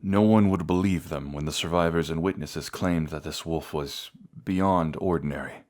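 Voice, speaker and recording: A low-voiced, proud knight, acted out by a man, speaks straight to the listener in a fantasy audio drama. He is bratty and haughty, grumbling through his wounds while hiding grief beneath his pride. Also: normal speed, darkish tone. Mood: somber recounting. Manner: haughty, grumbling, reluctantly vulnerable. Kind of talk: scripted audio roleplay addressed to the listener.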